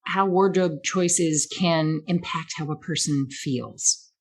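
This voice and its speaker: A woman hosting a podcast and asking a question in a measured, thoughtful way. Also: low voice. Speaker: podcast host. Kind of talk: interview question.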